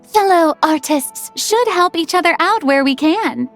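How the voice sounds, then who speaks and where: Breezy young lady director in a game, high and really lively, music under. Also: a little slow, exaggerated voice. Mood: relieved, friendly. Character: theatrical, generous young female film director. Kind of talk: video game voice acting.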